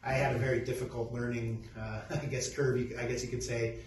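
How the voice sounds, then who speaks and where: A middle-aged man from Peru, a company CEO, introducing his background in a halting, casual way with many restarts. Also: mid-range voice, little pitch movement. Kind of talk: casual self-introduction talk. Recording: echoey room, distant mic, faint noise.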